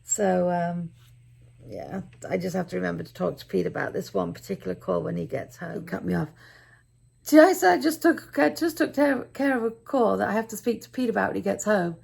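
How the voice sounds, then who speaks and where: A middle-aged woman with a raspy, tired voice, chatting casually to camera in a rambling, self-deprecating daily vlog. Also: medium pitch, brisk, darkish tone. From United Kingdom. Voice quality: raspy, gravelly. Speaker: vlogger.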